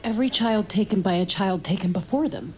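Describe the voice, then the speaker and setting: A woman's voice: medium pitch, lively intonation, a little fast, a little mellow, close to an everyday voice. Actress as a shaken, guarded young woman. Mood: troubled. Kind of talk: naturalistic audio drama acting.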